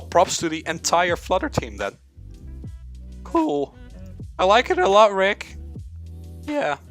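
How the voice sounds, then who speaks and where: A man's voice: mid-range voice, a bit bright. A young man from the Netherlands with a Dutch accent, streaming a live coding session, rambling to himself and chatting with viewers. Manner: rambling, talks to himself, hums filler syllables, swears casually.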